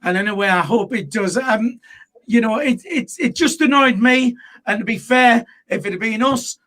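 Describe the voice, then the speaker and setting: A man's voice: extremely high pitch, normal speed, bright, forceful tone. A man hosting a live football fan show, blunt and full of fillers as he moves between panelists. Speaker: host.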